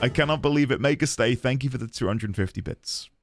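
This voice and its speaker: A man live-streaming a video game, chatting excitedly with viewers, thanking them and stumbling over restarts as he talks. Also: mid-range voice, fast, no echo, clean studio sound, soft background music. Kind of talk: casual live-stream chat and commentary.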